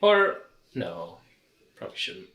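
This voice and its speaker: A man's voice: on the low side, huge pitch swings, takes it slowly, creaky, highs cut off. A young man co-hosting a podcast in casual chat, talking in a hesitant, fumbling, offhand way. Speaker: podcast co-host. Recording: roomy, echoey room.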